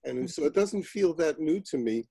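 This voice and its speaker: Hesitant man with a dark, dry voice; casual video-call chat. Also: a little high, animated, creaky, breathy edge, dry recording. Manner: hesitant, halting, wry. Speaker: novelist.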